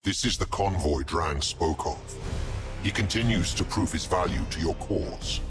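Deep-voiced, solemn war fleet officer. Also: male voice, a little slow, music in the background. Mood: businesslike. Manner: solemn, formal. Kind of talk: dramatic video game voice acting.

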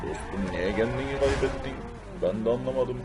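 A man voicing a slow-talking male buddy character in an amateur cartoon-style game video. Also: medium pitch, very noisy, lightly acted. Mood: puzzled.

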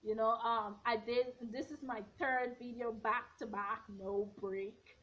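A young woman in her twenties, slightly hoarse, giving a blunt, sassy recap of a reality show from her bedroom, filmed on a front camera at arm's length. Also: fairly high pitch, unhurried. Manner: sassy, blunt, animated hand gestures. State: a bit hoarse. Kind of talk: casual opinionated recap. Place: bedroom at home, indoors. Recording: dull lo-fi webcam audio.

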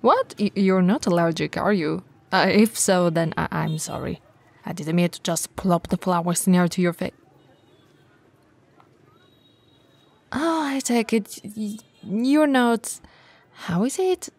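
A woman playing a tall, friendly, teasing bento shop owner in an intimate ASMR roleplay, with a breathy voice and a casual, chatty manner. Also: on the low side, unhurried, dry recording. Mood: flustered. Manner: casual, playful, hesitant and chatty.

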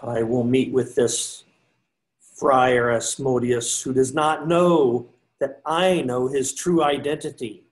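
Man playing slow, bold, devout friar. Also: medium pitch, steady tone, natural voice, low-quality recording. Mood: determined. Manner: declamatory, archaic storybook speech. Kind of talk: amateur readers theater acting. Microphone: computer mic on a video call.

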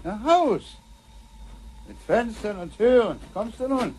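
An actor playing a gruff, irritable, old-fashioned grandfather in a sci-fi radio drama, blunt and grumbling. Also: high voice, sing-song, slow, mellow, slight background noise, exaggerated voice, low-quality recording. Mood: curt.

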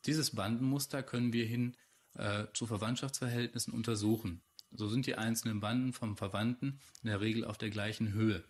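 A man's voice: lowish voice, fairly even intonation, a little fast, natural voice. A man voicing a forensic scientist who explains methodically in standard German, as a documentary dub. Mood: explanatory. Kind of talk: dubbed expert explanation.

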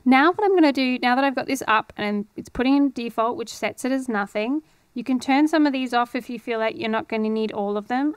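A woman's voice: a little high, clear, resonant tone, no echo. A woman, chattily explaining things in a casual screen-share tutorial. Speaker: audio producer giving a tutorial. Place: indoor home studio. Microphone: headphones and close studio microphone.

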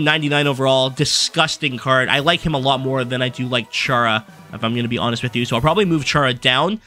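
A young man giving a casual, rambling rundown of his game team from his desk, his voice going hoarse as he is losing it. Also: on the high side, bright, forceful tone. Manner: fast, rambling card-by-card rundown with fillers. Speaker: gaming YouTuber. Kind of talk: casual gameplay commentary. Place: small room at a desk.

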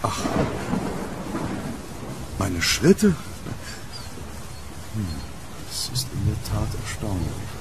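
An actor playing a troubled, courteous gentleman archaeologist haunted by his past, speaking formally and broodingly in an audio drama. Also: on the low side, very animated, drawn-out words, long pauses, noisy background, heavily acted. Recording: rainy street ambience, thunder.

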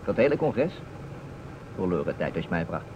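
Hissy dull recording, gloomy male police inspector, lowish pitch, clipped, grumbling. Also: sing-song, normal speed. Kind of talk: radio drama dialogue. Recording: muffled, noisy old radio recording.

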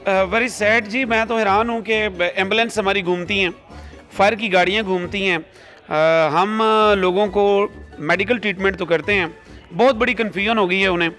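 Male rescue spokesman, Pakistani accent, high voice, polite explanations, music underneath. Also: fairly even intonation, a bit bright. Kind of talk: interview answers. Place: emergency call-centre control room. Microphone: reporter's handheld microphone held to him.

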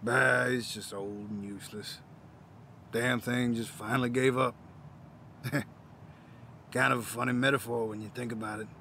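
A man playing a weary, proud old war veteran, gruff, wry and folksy, in an audio drama. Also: mid-range voice, flat delivery, normal speed, pauses often, sharp tone, a little hiss or hum, lightly acted. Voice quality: gravelly. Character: weary, proud old male Vietnam veteran down on his luck.

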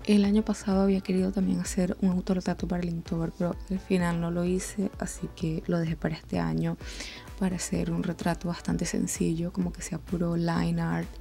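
A young Venezuelan woman talks casually over soft music. Her voice is on the low side and mellow, with a lot of creak, and she rambles along informally in a conversational way. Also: level tone, a little fast. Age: 20s. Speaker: art channel host. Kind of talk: casual chatty voice-over over drawing.

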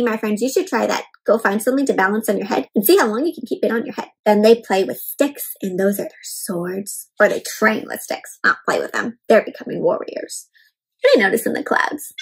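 A young adult woman reading a picture book aloud to children in an expressive, teacherly way, pausing to explain and ask questions. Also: mid-range voice, husky, rough recording. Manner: expressive, emphatic, teacherly, slowing on key words. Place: indoor room at home.

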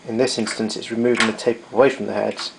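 A man's voice: fairly low pitch, little pitch movement, quick pace, gravelly, heavy background noise. A man giving an unscripted technical demonstration in a matter-of-fact, somewhat hesitant way. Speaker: demonstrator of vintage tape recorder.

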